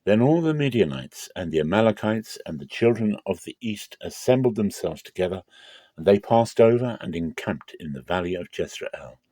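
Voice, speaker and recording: A man gives a dramatic reading of scripture as narrator. His voice is low and dark, the delivery solemn and theatrical, and the recording is dry and close. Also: very animated, normal speed, no room sound.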